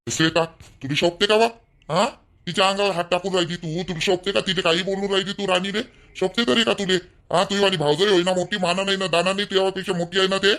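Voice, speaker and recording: A man's voice: sits high, fairly even intonation, fast talker. A man playing an angry young man of the family who scolds and threatens. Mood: scolding. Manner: shouting, threatening. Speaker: actor in a family drama skit.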